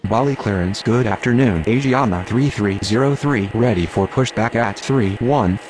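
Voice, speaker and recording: A man's voice: lowish voice, moderate pace, darkish tone, a little hiss or hum, natural voice, poor audio. A man voicing a neutral, procedural airline pilot or controller in scripted radio phraseology for a game.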